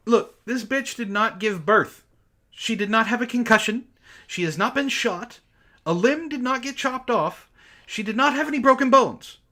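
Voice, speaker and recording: Very animated, sarcastic book club host, bright voice. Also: mid-range voice, moderate pace. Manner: sarcastic, exasperated, profane. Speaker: book club host reading aloud and commenting. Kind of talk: mocking dramatic reading with casual commentary.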